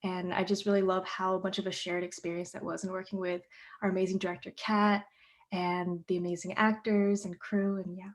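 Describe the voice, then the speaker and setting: A woman's voice: on the low side, level tone, a little fast. A teenage girl, a playwright guest on a panel, answering questions earnestly with many fillers over a video call. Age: teens.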